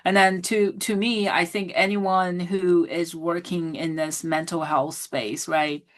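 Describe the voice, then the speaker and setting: A woman's voice: lowish voice, little pitch movement, takes it slowly. A woman hosting a casual interview on a video call, talking in restarts and tag questions. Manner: warm praise, many restarts and tag questions. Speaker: host.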